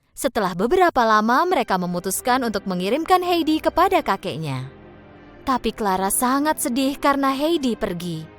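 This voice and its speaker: A woman narrating a scripted children's bedtime story. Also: a little high.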